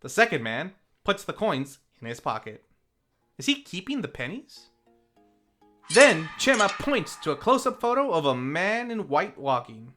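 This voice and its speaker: A review host narrating from a script, with theatrical flourishes and wry deadpan asides. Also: mid-range voice, a little slow, soft background music. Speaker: host of a film review series.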